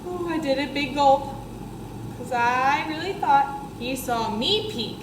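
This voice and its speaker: Woman playing an excitable little first-grade girl, high-pitched. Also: slow pace. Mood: anxious, defensive. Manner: excitable, emphatic, childlike phrasing. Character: chatty, dramatic first-grade girl narrating her spelling test. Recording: roomy classroom echo, clear background noise.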